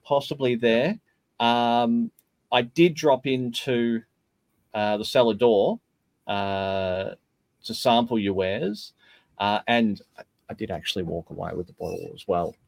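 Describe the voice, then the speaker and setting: A man's voice: medium pitch, unhurried, gravelly, no echo. A man hosting a casual podcast, joking around and hesitating with lots of fillers. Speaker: podcast host.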